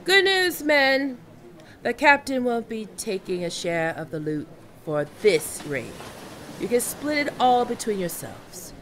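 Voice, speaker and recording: A woman playing a commanding, mocking and seductive female pirate captain in an audio roleplay, with theatrical, teasing, drawn-out menace. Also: a little high, very animated, a bit bright, a little hiss or hum. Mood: commanding, threatening. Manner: theatrical, teasing, drawn-out menacing delivery with archaic turns of phrase. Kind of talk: ASMR roleplay acting.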